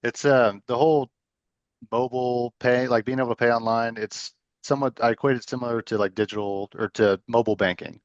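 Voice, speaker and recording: A man of thirty chatting in a relaxed way and telling short personal stories as a co-host. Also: medium pitch, brisk, dry recording, muffled recording. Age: 30s. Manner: relaxed, anecdotal. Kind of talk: casual chat.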